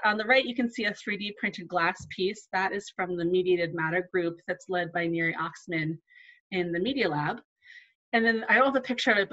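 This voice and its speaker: A woman archivist presents a live online talk in a relaxed, conversational way, with filler words and little asides. Her voice sits at an ordinary middle pitch, and the audio is somewhat dull and lo-fi. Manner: conversational, with frequent ums and asides. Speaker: museum archivist.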